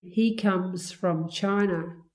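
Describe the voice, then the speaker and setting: A woman slowly reading English listening-test items with careful, clear enunciation. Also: on the low side, steady tone.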